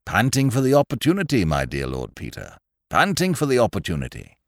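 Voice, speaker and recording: A man's voice: mid-range voice, huge pitch swings, brisk, no room sound, clean studio sound, pitched above the performer's own voice. Male reader as elderly English lawyer, courtly, genial, old-fashioned, lilting up and down, repeats himself, storybook dialogue. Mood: excited, admiring. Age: older. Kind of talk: audiobook character voice.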